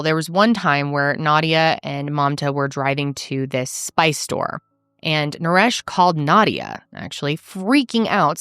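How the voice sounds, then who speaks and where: A woman with a low, smooth voice hosts a true crime show and tells the case in a casual, earnest way. She stresses words with conviction. The recording is dry and close to the mic. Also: a bit bright, no room sound. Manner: earnest, emphatic, conversational. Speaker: true crime podcast host. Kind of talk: casual storytelling narration.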